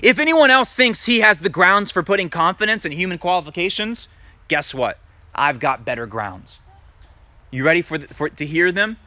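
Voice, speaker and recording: A male preacher with a bright, ringing voice and a very animated pitch that swoops up and down. He reads scripture and teaches emphatically, asking his listeners direct questions. The sound is dry and close. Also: moderate pace, dry recording. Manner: emphatic, rising to loud peaks when reading scripture; asks the congregation direct questions. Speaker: messianic congregation teacher. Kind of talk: sermon with scripture reading.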